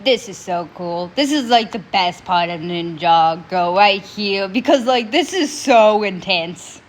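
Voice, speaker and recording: A young woman with a stuffy nose and sore throat, hosting a casual reaction video in an animated, opinionated way. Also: medium pitch, unhurried, over music. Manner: animated, opinionated ranting to viewers.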